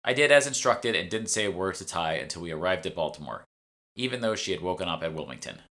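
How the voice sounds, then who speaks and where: Bright, low-voiced man narrating a novel. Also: sing-song, quick pace. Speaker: author reading own audiobook. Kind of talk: scripted first-person audiobook narration.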